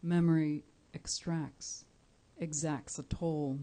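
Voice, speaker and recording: A woman from Cuba, a Spanish speaker, giving a live poetry reading, measured and deliberate with soft pauses. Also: sits low, steady tone. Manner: measured, deliberate, pausing often with soft fillers. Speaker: featured poet. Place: indoor live reading event.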